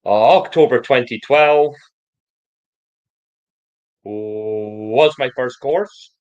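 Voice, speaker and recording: Very slow, deliberate man with a stammer. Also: mid-range voice, highs cut off. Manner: slow, deliberate controlled speech with occasional stammer blocks. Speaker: programme member giving a testimonial. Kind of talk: prepared personal testimony.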